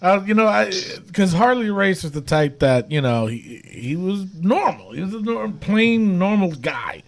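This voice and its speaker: A man hosting a laid-back wrestling podcast with friends. His voice sits a little high and swings widely as he rambles in loose slang, often breaking into laughs. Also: very animated, a little slow. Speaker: podcast host. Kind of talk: casual wrestling podcast chat.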